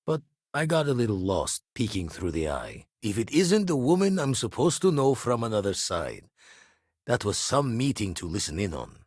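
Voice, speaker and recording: An actor playing an older retired space pirate turned astronomer in a video game, wry and reflective, with clipped, folksy phrasing and a husky voice. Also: male voice, lowish voice, very animated, normal speed, a little mellow, no echo, clean studio sound. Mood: wondering. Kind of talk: video game character voice acting.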